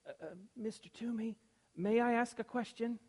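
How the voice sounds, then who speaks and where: A woman's voice: fairly high pitch, normal speed, darkish tone, breathy edge, pitched above the performer's own voice. Eager little boy voiced by a woman. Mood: earnest. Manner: earnest, pleading, stumbling starts. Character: eager polite seven-year-old boy. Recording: echoey room, long reverb, faint hiss.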